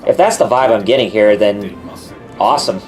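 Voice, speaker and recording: A man's voice: fairly low pitch, moderate pace, faint music behind. A man hosting a live reaction stream, chatting casually and reading viewer comments aloud. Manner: relaxed, reads viewer chat aloud and reacts. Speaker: livestream host.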